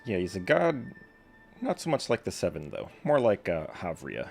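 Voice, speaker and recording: A man's voice: low-pitched, wildly swooping pitch, normal speed, vocal fry, darkish tone, soft background music. A man giving casual, slangy, wisecracking reactions while commentating on a video game. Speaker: gaming streamer.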